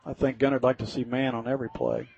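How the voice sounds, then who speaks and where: A man's voice: mid-range voice, sing-song, fast, creaky, darkish tone, breathy edge. An American man giving informal, folksy color commentary during a live football broadcast. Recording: slightly dull, lo-fi radio sound.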